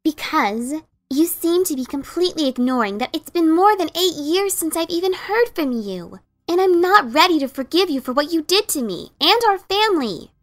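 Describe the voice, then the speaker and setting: A woman's voice: extremely high pitch, animated, normal speed, hardly any pauses. A woman voicing a blunt, resentful pegasus pony mother in a fan dramatic reading, scolding and incredulous. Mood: angry, accusing.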